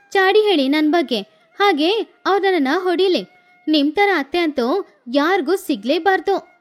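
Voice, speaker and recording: Woman voicing a tart young daughter-in-law. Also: very high-pitched.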